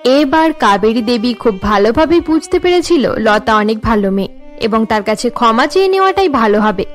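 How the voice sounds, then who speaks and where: A woman narrator reads a scripted cartoon story. Her voice is high-pitched, and music plays clearly underneath. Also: expressive, a little fast.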